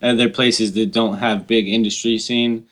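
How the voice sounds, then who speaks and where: A man's voice: lowish voice, flat delivery, moderate pace, fairly bright tone. A man co-hosting a casual interview, talking in loose slang and often checking in with "you know what I'm saying.". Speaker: podcast co-host.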